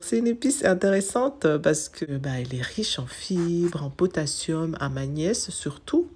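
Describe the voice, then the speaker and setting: A woman from Mayotte gives an informative voice-over in an enthusiastic, chatty way. Her voice is very low, with a husky, breathy grain. She runs through lists of facts and drops in casual fillers. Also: expressive, unhurried, a little mellow. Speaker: wellness channel host.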